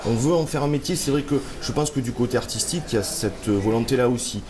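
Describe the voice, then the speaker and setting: A man's voice: medium pitch, fast, background noise. A man, an author, answering interview questions in a thoughtful, hesitant way with many fillers, a little stuffy from a cold. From France. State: slightly congested with a cold. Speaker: author guest. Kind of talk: relaxed interview conversation.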